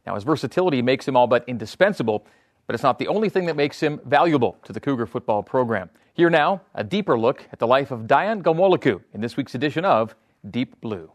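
A man's voice: medium pitch, animated, fast talker. A man hosting a sports broadcast, reading promos and introductions in a polished way. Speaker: sports show host.

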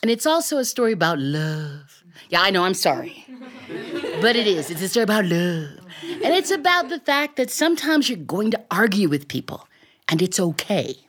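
Expressive American woman telling stories live. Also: mid-range voice, normal speed. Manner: colorful, playful, dramatic. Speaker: storyteller. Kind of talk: live theatrical oral storytelling with audience participation. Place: recorded live in a radio studio before an audience.

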